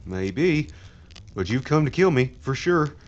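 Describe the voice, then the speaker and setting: A man's voice: mid-range voice, very animated, measured pace, highs cut off, slight background noise. An actor playing a frightened outlaw henchman who talks in an anxious, pleading drawl. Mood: nervous.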